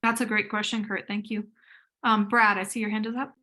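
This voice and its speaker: A woman's voice: mid-range voice, level tone, fairly quick, bright, forceful tone. A woman chairing a public board meeting in a friendly, informal way over a video call.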